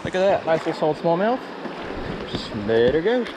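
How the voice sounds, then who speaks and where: A young man, excitedly narrating as he fishes in a river, recorded on a head-mounted camera. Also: on the high side. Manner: excited, folksy exclamations. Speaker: fishing vlogger. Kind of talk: casual narration while fishing. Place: outdoors wading in a river. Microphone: head-mounted action camera. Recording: outdoor camera mic with rushing water noise.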